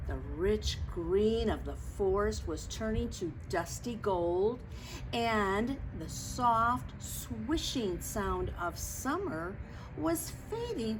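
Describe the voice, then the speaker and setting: Lady doing storytime for little kids, slow n expressive, explains stuff as she goes, kinda noisy audio. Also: medium pitch. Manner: expressive, explanatory, addresses young listeners. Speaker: storytime reader. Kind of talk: children's picture-book read-aloud with asides.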